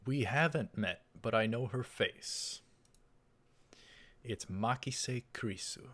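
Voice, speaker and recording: A man's voice: medium pitch, slow pace, a bit bright. A man doing a game playthrough, reading the story text aloud and adding casual asides. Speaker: let's play host. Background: game music.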